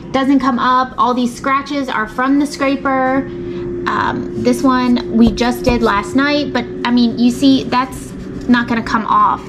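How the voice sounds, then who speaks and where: A woman, chatting casually as a cleaning vlogger in her home kitchen, with filler words and an animated manner. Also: high-pitched. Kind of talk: casual vlog chat. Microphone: camera across the room. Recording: echoey kitchen, far from mic, background noise.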